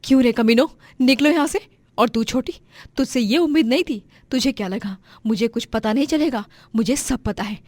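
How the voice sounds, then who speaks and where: A woman voicing a bold, protective elder sister who scolds forcefully in a moral story. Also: a little high, normal speed, no room sound, voice pushed up, lightly acted. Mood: indignant.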